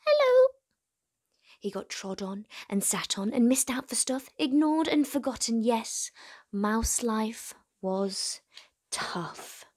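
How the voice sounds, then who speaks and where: A woman reading a children's storybook aloud expressively as host, with dramatic pauses and hushed emphasis. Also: fairly high pitch.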